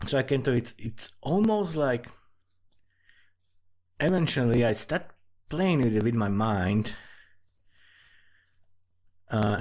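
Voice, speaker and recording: A man with a Central European accent giving a casual, rambling, sweary monologue that drifts from one thought to another. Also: mid-range voice. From Central Europe, small country. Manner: informal, sweary, digressive. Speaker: amateur fantasy writer recording commentary.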